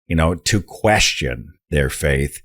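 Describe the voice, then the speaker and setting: A man hosting a podcast interview, asking questions in an animated, conversational way. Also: a bass voice, takes it slowly, husky. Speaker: podcast host.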